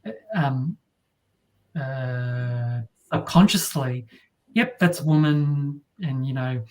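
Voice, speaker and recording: A woman from Australia with a deep voice, talking as an interview guest in a wry, halting way with many restarts. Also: darkish tone, low-quality recording. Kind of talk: casual interview talk.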